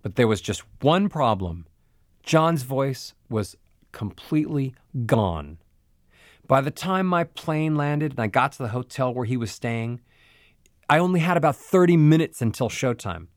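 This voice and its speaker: A man teaching vocal exercises as a voice coach, speaking clearly and now and then demonstrating the sounds he means. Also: mid-range voice, big pitch swings, a little slow, no room sound, studio quality. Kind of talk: instructional audiobook narration.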